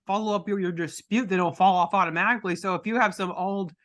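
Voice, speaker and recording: A woman with a deep voice hosting a podcast, chatting casually and rambling with many restarts. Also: fairly even intonation, fast, fairly bright tone. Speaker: podcast host.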